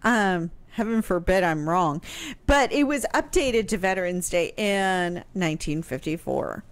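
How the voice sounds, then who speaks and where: A woman's voice: lowish voice, animated, measured pace. American woman in her thirties, hesitant talker. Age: 30s. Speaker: radio history show guest expert. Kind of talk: conversational history discussion. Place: small home room, indoors. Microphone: close boom-arm studio microphone with headset.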